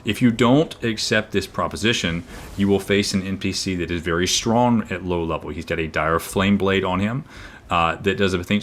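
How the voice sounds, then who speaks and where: Deep-voiced man rambling over gameplay. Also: fairly bright tone, a little hiss or hum. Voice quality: naturally booming, projecting voice. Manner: rambling, with frequent fillers and restarts. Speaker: gaming video creator. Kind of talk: casual gameplay commentary. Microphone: own microphone, level turned down.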